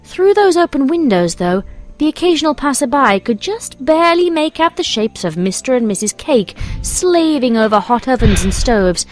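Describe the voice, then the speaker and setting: Female story narrator, English accent, fairly high voice, measured and expressive, over soft music. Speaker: fan fiction reader and narrator.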